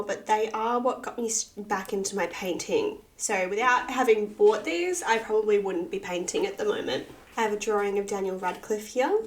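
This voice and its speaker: A woman, husky from a cold with a bit of vocal fry, chatting casually and self-deprecatingly through a sketchbook tour. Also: medium pitch. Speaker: artist and channel host.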